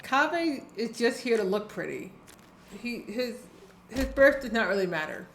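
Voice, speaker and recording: A woman's voice: a little high, very animated, normal speed, a little hiss or hum. Blunt, sassy woman streamer, roomy sound. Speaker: game livestreamer. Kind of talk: casual live game commentary. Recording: roomy sound, loud game music. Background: video game music and dialogue.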